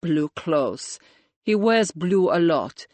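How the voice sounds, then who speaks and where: A sullen young trainee chef from Latvia speaks in short, broken English with a heavy Eastern European accent, as a woman reader lowers her voice for him. His tone is guarded and flat, and the recording is dry and close. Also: takes it slowly, no room sound.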